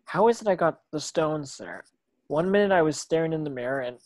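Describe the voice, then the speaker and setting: A man's voice: a little high, lively intonation, a little fast, dry recording, natural voice. Male actor as schoolboy wizard, earnest, curious, questioning, dry close sound, fantasy table read. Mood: puzzled.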